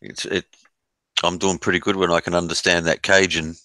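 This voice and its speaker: A man's voice: low voice, little pitch movement, brisk, pushed and bright, no room sound, low-quality recording. A man hosting a live stream from his laptop, chatting casually in a laid-back, rambling way. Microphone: laptop mic.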